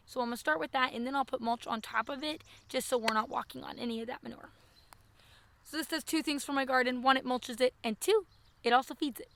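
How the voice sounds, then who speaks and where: Hoarse, chatty woman gardening outdoors. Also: high voice, a bit bright. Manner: chatty, practical, self-deprecating. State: hoarse, scratchy voice. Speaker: homesteading vlogger. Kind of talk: casual how-to chat. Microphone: wireless earbud mic.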